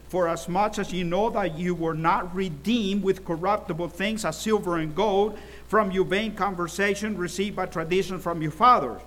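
Middle-aged preacher guy from el salvador, kinda high voice, storytelling sermon, gets loud on key words. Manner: storytelling, emphatic swells in volume.

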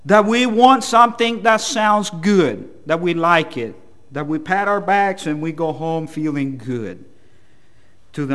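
A man's voice: on the high side, fairly bright tone. A man from El Salvador with a Salvadoran Spanish accent, preaching a sermon in a plain-spoken, somewhat hesitant and self-deprecating manner.

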